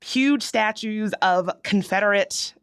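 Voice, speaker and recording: A woman from near Richmond, Virginia, co-hosting a casual podcast, confident and animated as she tells stories. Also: medium pitch, takes it slowly, clean tone. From Southern US, near Richmond, Virginia. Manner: confident, animated storyteller who acts out quoted voices. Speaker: podcast co-host. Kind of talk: casual conversational podcast chat.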